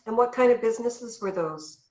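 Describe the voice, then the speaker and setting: A woman's voice: a little high, fairly quick, a little mellow, roomy sound, highs cut off. A woman hosting a podcast, asking curious conversational questions. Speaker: podcast host. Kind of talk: casual interview questions.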